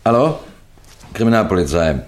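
A man acting a shrewd middle-aged police detective in a radio mystery, with a deliberate, lecturing manner that builds suspense through pauses. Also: deep voice, big pitch swings. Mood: brisk, inquiring. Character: shrewd, unhurried senior police detective explaining a case. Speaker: detective narrator.

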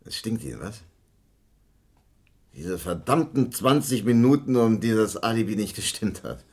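Sardonic washed-up director, husky, very animated melody, brooding, bitter hard drinker, radio play. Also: male voice, fairly low pitch. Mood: scornful, weary. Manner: slow, theatrical, brooding, with sudden outbursts. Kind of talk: 1970s German crime radio play acting.

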